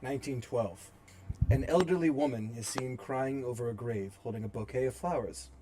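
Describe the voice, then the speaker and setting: Man narrating a horror script, mid-pitched. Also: normal speed, low-quality recording. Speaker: narrator reading stage directions.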